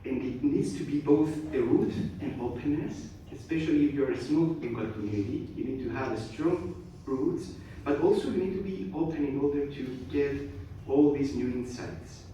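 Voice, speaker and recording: Man, halting formal speech, echoey hall. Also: medium pitch, warm tone. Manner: halting, many hesitations and restarts. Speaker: guest speaker. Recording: echoey hall, distant mic, faint noise.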